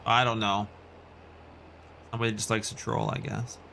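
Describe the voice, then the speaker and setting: A man's voice: fairly low pitch, little pitch movement, moderate pace, gravelly, sharp tone, a little hiss or hum. A Canadian man chatting casually and in a rambling way as he hosts a video game livestream and responds to his chat. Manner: rambling, reacting to chat messages.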